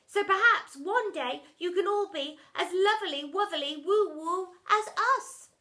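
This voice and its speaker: Woman doing a sappy smug boy, cutesy baby talk, rhyming pet names, very high, bright and squeaky. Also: a little slow, exaggerated voice. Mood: gushing.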